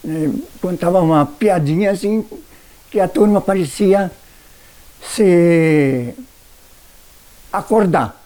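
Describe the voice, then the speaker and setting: An elderly man from southern Brazil, with the accent of that region, shares memories as a retired schoolteacher. His voice is soft-edged and somewhat high for a man. He speaks slowly and haltingly. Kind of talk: personal recollection for a documentary.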